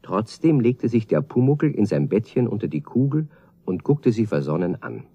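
A man's voice: lowish voice, very animated, moderate pace, mellow, slightly rough, heavily acted. A man narrating a children's radio play as a scripted storybook tale, on an old record. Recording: dull, roomy 1970 record.

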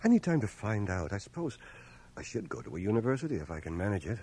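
An actor playing an earnest, reserved young war veteran in a radio drama, measured, hesitant and bookish. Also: male voice, lowish voice, big pitch swings, darkish tone, slightly rough, full character voice. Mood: earnest, tender. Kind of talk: 1980 radio drama acting and first-person narration. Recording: cassette transfer of radio drama, faint music.

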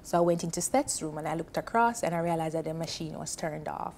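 A woman's voice: low voice, fast, a little hiss or hum. Earnest Jamaican woman sharing a story. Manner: earnest, emotional, animated with hand gestures. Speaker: panel guest. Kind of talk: personal story on a TV panel. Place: indoor TV studio set on a sofa. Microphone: lapel mic.

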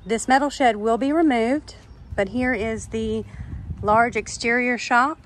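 A woman's voice: fairly high pitch, a little slow, a little hiss or hum. A woman working as a real estate agent, narrating an informal walk-through of a property. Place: walking through a house and its yard.

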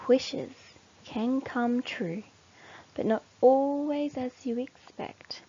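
Woman as a slow, wise old female wildebeest. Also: high-pitched, mellow, husky, lo-fi sound, pitched above the performer's own voice, full character voice. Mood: knowing. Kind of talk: read-aloud children's storybook.

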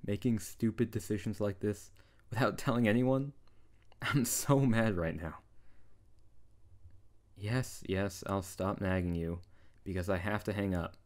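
A man's voice: on the low side, fairly even intonation, a little slow, darkish tone, close to an everyday voice. A man playing a young tsundere wizard who is bossy, exasperated and caring, in an intimate ASMR roleplay. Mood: exasperated, scolding. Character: tsundere young male wizard, scolding but tender best friend. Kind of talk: intimate ASMR audio roleplay addressed to the listener.

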